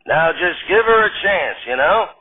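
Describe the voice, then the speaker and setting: A grinning, scheming prankster, as a man puts him on drawls slowly and smugly. The sound is thin and tinny, like over a phone. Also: high-pitched, expressive, pitched above the performer's own voice. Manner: slow smug drawl.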